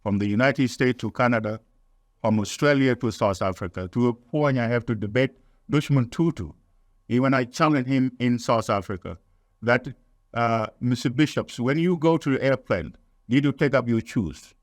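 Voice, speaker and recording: Man, middle pitch, emphatic, deliberate, dry recording. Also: no room sound. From South Sudan. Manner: emphatic, deliberate, nonstandard grammar. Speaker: guest, activist. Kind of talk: impassioned interview answers.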